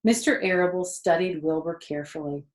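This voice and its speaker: A woman's voice: fairly low pitch, a little fast. A woman reading a children's story aloud expressively, with conversational asides to her listeners. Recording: roomy echo.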